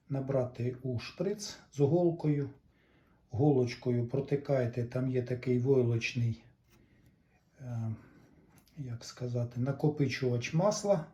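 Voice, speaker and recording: A man giving a casual hands-on repair walkthrough, halting and thinking aloud in short phrases. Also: medium pitch, mellow, dull audio. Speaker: hobbyist repairer giving a demonstration.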